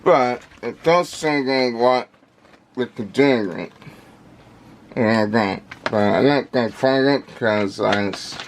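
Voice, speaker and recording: A man giving casual unscripted product commentary in halting, repetitive fragments, with labored, indistinct articulation from a speech impediment. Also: medium pitch, slight background noise. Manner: halting, repetitive, fragmented phrasing with effortful delivery. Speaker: vlogger unboxing audio gear.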